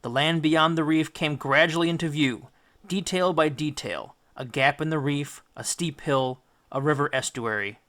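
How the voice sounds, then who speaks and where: A man reading an audiobook as dramatic, scripted storybook narration, with deliberate, theatrical phrasing. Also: on the high side, a bit bright. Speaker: audiobook reader.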